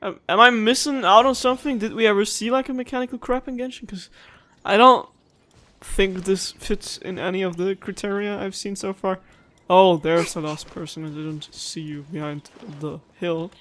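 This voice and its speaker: High-pitched man doing gaming commentary. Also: steady tone, normal speed, sharp tone. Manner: joking, incredulous reactions. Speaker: gaming video host. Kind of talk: casual Let's Play commentary.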